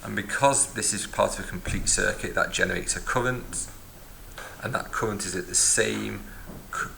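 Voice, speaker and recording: A man's voice: on the low side, normal speed, creaky, sharp tone, a little hiss or hum. A man teaching a physics lesson, explaining step by step and recapping as he writes in a notebook. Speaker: science teacher. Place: at a desk, filming a notebook from above.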